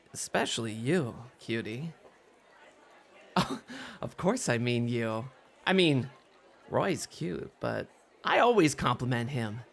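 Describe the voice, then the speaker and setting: Male actor as bubbly, teasing bunny club host for ASMR roleplay; high-pitched, very animated delivery. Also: gravelly, full character voice. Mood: flirty. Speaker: guest voice actor in an audio roleplay.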